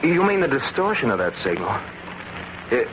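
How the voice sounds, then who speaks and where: A man's voice: mid-range voice, big pitch swings, a little slow, highs cut off. An actor playing a wry, skeptical male lab assistant who jokes with his colleague in a 1940s radio drama. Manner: wry, incredulous. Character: adult male lab partner, skeptical and joking. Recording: old radio recording with loud hiss.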